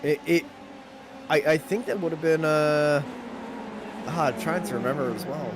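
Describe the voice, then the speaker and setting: A native English-speaking man with a high voice calls a sim race. He is loose and quick to laugh in the banter with his co-commentator. Steady engine noise from the race is clearly heard under his voice. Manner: relaxed, laughing, rises to excited play-by-play. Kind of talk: sim racing play-by-play. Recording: clear background car noise. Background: race car engine sounds.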